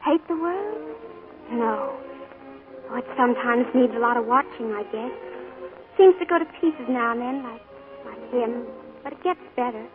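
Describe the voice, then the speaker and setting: An actress playing a young woman mourning her uncle, speaking softly and hesitantly in a 1940s radio drama. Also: a little high, expressive, moderate pace, pauses often, music in the background, close to an everyday voice. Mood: wistful. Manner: soft, hesitant, wistful. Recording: tinny 1940s radio broadcast.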